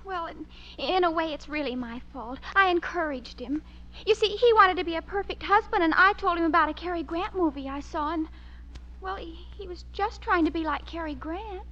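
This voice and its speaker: An actress playing an earnest, loyal daughter in an old radio comedy. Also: female voice, extremely high pitch, moderate pace, pushed and bright. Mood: earnest, pleading. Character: earnest, loyal daughter defending her father. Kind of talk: 1940s radio comedy acting. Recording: dull old radio recording.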